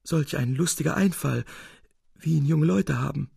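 A man's voice: a little high, moderate pace, gravelly, a little mellow, slightly rough, dry recording, clean studio sound, voice pushed up, exaggerated voice. A man playing a groaning, pitiful old man. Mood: weak, anxious. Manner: groaning, sighing, effusive. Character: miserly old man, groaning, pitiful, self-pitying and infatuated.

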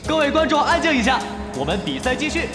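An excitable e-sports announcer in an audio drama, working the crowd with theatrical flair. The high voice swoops widely through an echoing arena, over loud music. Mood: calming, official. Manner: theatrical, drawn-out showmanship. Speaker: event host, announcer.

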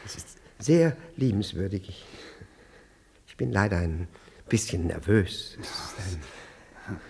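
An actor playing a nervous, fussy middle-aged husband who stammers and repeats himself, in a 1960s radio play. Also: male voice, low-pitched, expressive, takes it slowly, creaky, darkish tone, a little hiss or hum, heavily acted. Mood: flustered.

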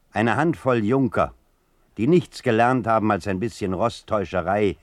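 An actor playing a solemn, indignant reader of a political manifesto, declaiming with measured rhetorical emphasis in a radio play. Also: male voice, fairly low pitch, dry recording, clean studio sound, natural voice.

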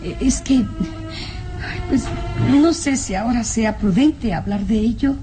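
A woman's voice: a little high, expressive, slow. An actress playing a sensitive, anxious young noblewoman in a radio drama, with a hesitant, emotional delivery. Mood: nervous, distressed. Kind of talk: radionovela acting. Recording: vintage radio drama with music behind.

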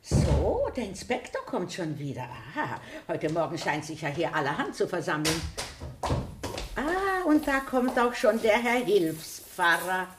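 An actress playing a shrewd, inquisitive elderly spinster sleuth in a radio drama, gossipy and slyly probing. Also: female voice, on the low side, sing-song, unhurried, noisy background. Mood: nosy, probing.

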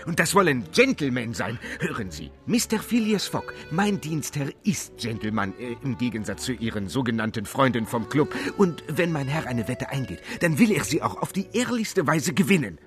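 An actor in a German radio play playing a loyal, indignant manservant, speaking in an emphatic, proud and scoffing way. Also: male voice, mid-range voice, sing-song, moderate pace, hardly any pauses, soft background music, lightly acted. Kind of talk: German radio drama acting.

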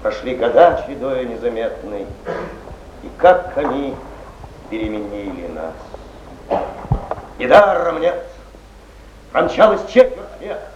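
A man's voice: mid-range voice, big pitch swings, measured pace, long pauses, darkish tone. An actor playing a solemn male poet, declaiming verse in an emphatic, rhetorical theatrical style. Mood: solemn, stirring. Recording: echoey, muffled, noisy stage recording.

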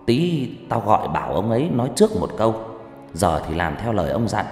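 A man's voice: fairly low pitch, sing-song, brisk, darkish tone, faint music behind. A man voicing an angry old village sorcerer who scolds and commands bluntly in a dramatized horror story. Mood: bossy, instructing. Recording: echoey hall reverb.

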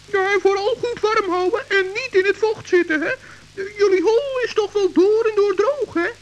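Woman acting a squeaky, very high-voiced little gnome. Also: moderate pace. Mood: friendly concern. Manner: exclaiming, stammering when nervous. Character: small, anxious but plucky male forest gnome. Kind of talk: children's radio play acting. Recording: dull, hissy old radio recording.

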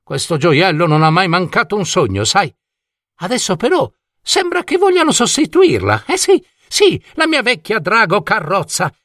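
A man performs an eccentric old vagabond from a storybook, kindly and talkative. His voice leaps around in big swoops and often climbs high. He rambles theatrically, full of exclamations and rhetorical questions. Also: huge pitch swings, moderate pace, dry recording, studio quality, heavily acted. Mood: proud, nostalgic. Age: older. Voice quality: warm voice. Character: old vagabond dream-carriage driver, kindly, chatty, eccentric.

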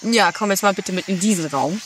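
A woman's voice: mid-range voice, fairly quick, a bit bright, natural voice. A woman playing an earnest, devout and obliging companion in an amateur comedy radio play, explaining things patiently. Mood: eager, proud. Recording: faintly noisy amateur 1980s recording.

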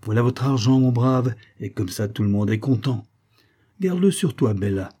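A man's voice: mid-range voice, moderate pace, mellow, lightly acted. A man voicing a brash, self-satisfied male tourist with a blunt, jovial, boastful manner, in a storybook reading.

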